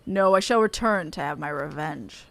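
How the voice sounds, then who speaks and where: A woman's voice: low-pitched, moderate pace, a bit bright, voice pushed down. A woman voicing a pompous, vengeful defeated game villain in a theatrical, grandiose manner. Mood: vowing revenge.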